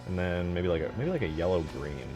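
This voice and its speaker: Deep voiced guy rambling casually while modding a game, very up and down, music behind him. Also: very animated, a little fast, a little mellow. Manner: informal, slangy, thinking aloud. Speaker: game modder showing work in progress. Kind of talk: casual rambling screen-share commentary.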